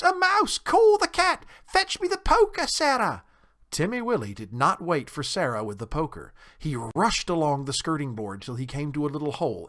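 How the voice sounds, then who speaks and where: A man narrating a children's story in an expressive, dramatized storytelling manner. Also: a little high. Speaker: audiobook narrator.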